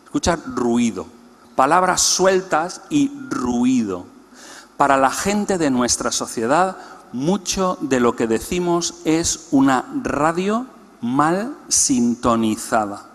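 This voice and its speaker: A man's voice: mid-range voice, lively intonation, slow pace. Man teaching in an echoing hall. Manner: explanatory, asks the audience questions. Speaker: conference speaker. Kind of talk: teaching talk to a church congress. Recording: long hall reverb.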